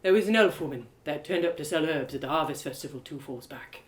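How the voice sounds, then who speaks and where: A woman's voice: deep voice, fast talker, lightly acted. A woman voicing a heartbroken, earnest young village man who confides in a hesitant, rustic way, for an audio drama. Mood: recollecting. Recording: roomy echo of home live reading.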